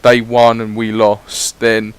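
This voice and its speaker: Rambling lad on gameplay commentary. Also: male voice, on the low side, completely flat delivery, slow, smooth. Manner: rambling, chatty, swears casually. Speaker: gaming YouTuber. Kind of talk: casual voice-over gameplay commentary.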